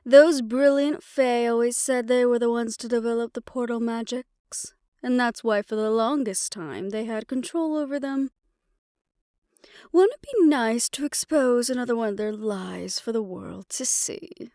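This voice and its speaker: A woman's voice: a little high, a little slow, warm tone, no echo, studio quality. A woman playing a confident, scheming female magic researcher in a scripted fantasy audio roleplay. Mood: sly, scheming.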